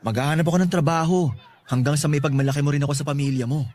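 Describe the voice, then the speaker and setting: An actor playing a cheeky, lovestruck young loafer in a Filipino radio drama, teasing and wheedling with corny playfulness. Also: male voice, a little high, fairly even intonation, racing, darkish tone, slight background noise, lightly acted. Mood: pleading. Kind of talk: Tagalog radio drama acting.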